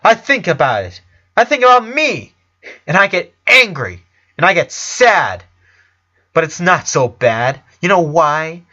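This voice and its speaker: A man's voice: a little high, big pitch swings, a little slow, pushed and bright, lo-fi sound, voice pushed up, heavily acted. A man voicing a defiant, wounded eleven-year-old boy in a story reading, speaking with insistent emotion. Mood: anguished, insistent. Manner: insistent, emotional, repetitive phrasing.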